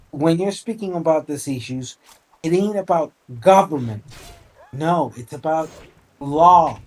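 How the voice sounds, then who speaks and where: A man in his forties with a fairly high, very mellow voice, arguing in an informal online debate. He is discursive, mixing formal terms with curse words. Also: rough recording. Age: 40s. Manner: discursive, formal wording mixed with swearing. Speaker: debate participant.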